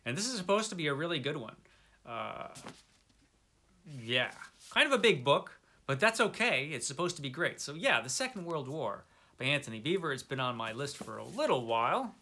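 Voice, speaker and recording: A man's voice: a little high, very animated, moderate pace, sharp tone, slight background noise. A middle-aged man talking casually to viewers as a book vlogger, rambling along with wry, self-deprecating asides. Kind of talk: casual chat to camera, book recommendations.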